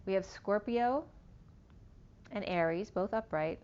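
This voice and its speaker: A woman giving a casual tarot reading, rambling through cards and star signs, her voice going hoarse. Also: mid-range voice, takes it slowly, a little mellow. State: losing her voice. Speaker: tarot reader. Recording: dull lo-fi recording.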